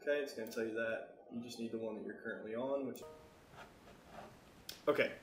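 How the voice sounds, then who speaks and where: Male tutorial presenter, American, informal think-aloud delivery, mid-pitched voice, roomy sound. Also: fairly even intonation, a little fast, a little hiss or hum. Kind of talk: casual software tutorial narration.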